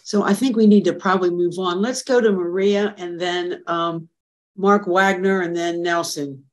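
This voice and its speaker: Brief: webinar moderator, mid pitch, brisk and time-conscious, video call. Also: steady tone.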